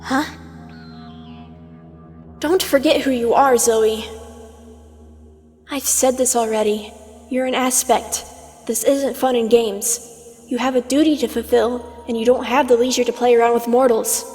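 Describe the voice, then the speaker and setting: A woman's voice: on the high side, steady tone, a little slow, pauses often, darkish tone, natural voice. A woman playing a condescending, teasing mentor, an immortal aspect of Twilight in a comic dub, lecturing in a theatrical, sardonic way. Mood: stern. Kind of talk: scripted fan comic dub acting. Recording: long reverb over music.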